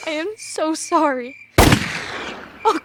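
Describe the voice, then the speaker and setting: A young woman playing an anxious, guilt-ridden teenage girl in an audio play, earnest, apologetic and quick to justify herself. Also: high voice, lively intonation, heavy background noise, full character voice. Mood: panicked, frantic.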